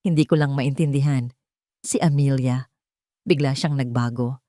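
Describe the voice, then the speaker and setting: A woman's voice: rumbling low, steady tone, normal speed, warm tone, no echo, pitched below the performer's own voice. A woman narrator reads as an earnest male doctor in an audio drama. He is troubled and devoted, with subdued, sincere speech in a dry, close recording. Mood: listless.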